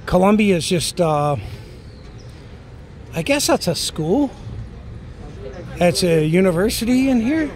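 A man's voice: high-pitched, very animated, slow, pauses often, darkish tone. A man walking and filming as he goes, musing aloud in a rambling way with frequent "right?" tag questions. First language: English. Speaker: travel vlogger. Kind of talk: casual walking commentary. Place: outdoors on city streets. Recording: outdoor street noise. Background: traffic and street noise.

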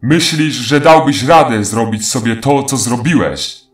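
A man's voice: medium pitch, a little slow, close to an everyday voice. A man playing a taunting, menacing ancient faceless demon in a horror audio drama. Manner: mocking, menacing, theatrical. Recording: echoing voice over faint music.

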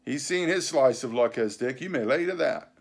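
A man reading a storybook, voicing a sly, scornful pirate captain who speechifies in rough nautical slang with sarcasm and bluster. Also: medium pitch, expressive, normal speed, voice pushed up. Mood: sardonic, mocking. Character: sly, scornful, commanding male pirate captain and sea cook.

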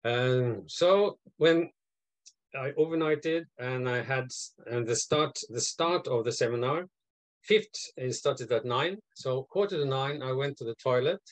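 A man's voice: mid-range voice, a little slow, no room sound. An older man from Norway telling a story on a video call, hesitating with fillers and restarts. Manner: hesitant, many fillers and restarts, lively retelling. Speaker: guest, advisor and mentor. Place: home room, indoors.

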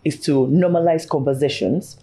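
A woman's voice: deep voice, warm tone. A woman with a Ugandan accent hosting a casual podcast chat in an effusive, exclaiming manner. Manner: effusive, exclaiming, quick to interject. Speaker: podcast host and family therapist. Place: small room with a sofa. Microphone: podcast microphone on boom arm.

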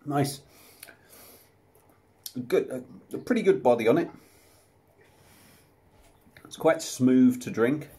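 A man's voice: medium pitch, expressive, slow, long pauses, dark tone. A man, casually reviewing a beer to camera at home, chatty and self-deprecating. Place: small room at home, indoors. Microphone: camera close up at desk level.

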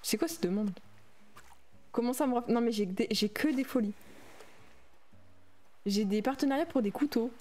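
A woman's voice: on the high side, moderate pace, pauses often, dark tone, soft background music. A young adult woman streaming a game, chatting casually and reading and answering her viewers' messages aloud. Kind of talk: casual livestream chat while playing a game.

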